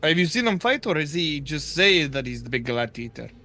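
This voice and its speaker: A man with a somewhat higher voice talks in character around a gaming table. He hesitates and fills his sentences with uhs. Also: sing-song, fairly quick, bright, forceful tone. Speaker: player. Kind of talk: in-character tabletop roleplay chat.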